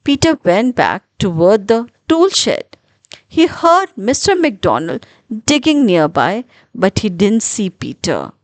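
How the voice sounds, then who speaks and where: A woman narrating a children's story in an expressive, deliberate storytelling manner with dramatic pauses. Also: medium pitch, no room sound.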